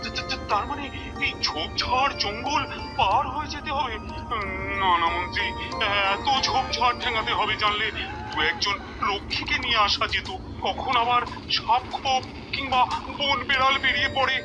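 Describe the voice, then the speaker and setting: Cartoon king: very high, animated, fussy and easily flustered, exclamatory delivery with loud music underneath. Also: normal speed, rough recording. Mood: nervous. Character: excitable, fussy king fond of his jester. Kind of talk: cartoon voice acting.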